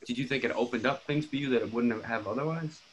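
A man's voice: mid-range voice, fast. A man casually asking questions in a discussion, rambling as he searches for the right phrasing. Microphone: video call. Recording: clearly noisy video-call audio.

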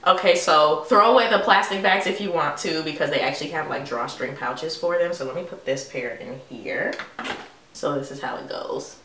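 A woman's voice: deep voice, moderate pace, fairly bright tone, roomy sound, far from the mic. A young woman in her twenties chatting playfully to camera about sunglasses she was sent, rambling and calling viewers honey. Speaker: beauty vlogger. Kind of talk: casual haul vlog talking to camera. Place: bedroom vanity with lighted mirror, indoors.

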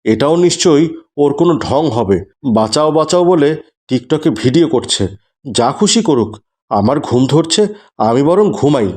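A somewhat high male voice , an actor's, for a devout family man in a cartoon melodrama. He is stern and aggrieved. His delivery swings between exasperated scolding and pleading. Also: expressive, moderate pace, darkish tone, close to an everyday voice. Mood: dismissive.

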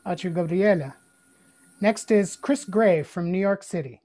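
A woman hosting a video call, briskly handing off to each speaker. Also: fairly low pitch, warm tone. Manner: brisk, short handoffs. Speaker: host. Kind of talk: moderating introductions.

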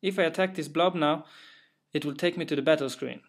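Swedish-accented young man, fairly high voice. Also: fairly quick. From Sweden. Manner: careful, plain, a little hesitant with fillers. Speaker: indie game developer. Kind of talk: narrated gameplay walkthrough.

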